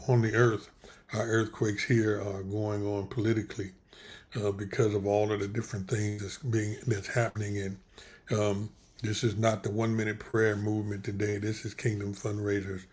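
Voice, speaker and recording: A pastor hosts a casual livestream from his computer setup. His voice sits low and sounds gravelly, and he is losing his voice. He wanders and restarts his sentences as he talks. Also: darkish tone. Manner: rambling, halting, many restarts. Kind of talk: casual livestream talk with prayer.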